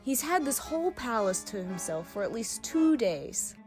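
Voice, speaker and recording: A mischievous, breezy young troublemaker voiced by a woman in an audio drama. The voice is on the high side and very animated, playful and glib, over faint music. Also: moderate pace. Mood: breezy, speculative. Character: breezy, mischievous young troublemaker full of half-baked theories.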